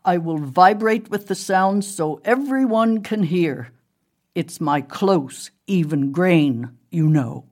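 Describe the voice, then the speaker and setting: An old, experienced spruce tree from a storybook as a woman narrator acts him out, speaking slowly and on the low side. He is proud and given to boasting, with a dry, dark edge. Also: expressive. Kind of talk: storybook character voice.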